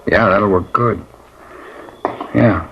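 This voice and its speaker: A jittery whiny informant. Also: male voice, lowish voice, slow, vocal fry. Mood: wheedling. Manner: whiny, pleading, streetwise slang. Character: nervous hard-drinking male informant. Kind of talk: 1950s radio drama acting. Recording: lo-fi old radio broadcast, faint hiss.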